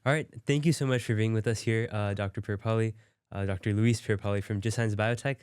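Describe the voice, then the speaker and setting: A young male interviewer with a low, smooth voice asks questions in a friendly, eager way, stumbling over fillers. The sound is dry and close. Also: steady tone, brisk, a little mellow, no room sound. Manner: friendly, filler-heavy, enthusiastic. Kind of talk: casual interview.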